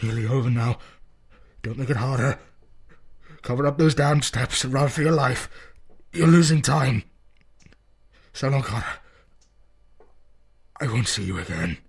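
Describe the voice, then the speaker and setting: A man voicing a desperate man trapped below a tomb, shouting frantic pleas to his friend above. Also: lowish voice, expressive, measured pace, creaky, long pauses, breathy edge, no echo, exaggerated voice. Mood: resigned.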